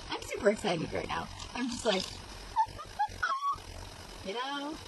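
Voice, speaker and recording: A woman's voice: on the high side, big pitch swings, a little slow. A playful young woman chatting casually with a friend into her laptop webcam. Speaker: vlogger. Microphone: built-in laptop webcam mic. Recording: noisy laptop webcam recording.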